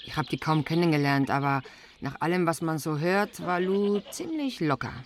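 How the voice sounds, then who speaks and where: An actress playing an easygoing, reassuring commune member in casual radio drama dialogue. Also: female voice, deep voice, lively intonation, moderate pace.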